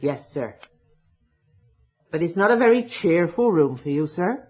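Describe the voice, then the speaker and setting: A woman's voice: lowish voice, lively intonation, a little slow, mellow, husky. A woman playing a deferential, superstitious old charwoman in a radio drama, hinting at gossip. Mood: deferential, doubtful. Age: older. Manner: deferential, hinting, rustic turns of speech. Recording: dull lo-fi radio drama recording.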